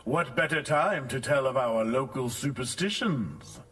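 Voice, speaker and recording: A man's voice: medium pitch, expressive, unhurried, a bit bright, slightly rough, distant mic. A man playing a brooding, jealous village bully in a theatrical audio drama, with a taunting, ominous manner.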